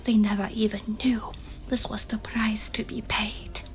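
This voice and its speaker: A woman playing a gentle, protective shamaness in a fantasy ASMR roleplay, in a breathy whisper, pleading yet resolute. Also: mid-range voice, level tone, unhurried, lightly acted. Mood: reproachful.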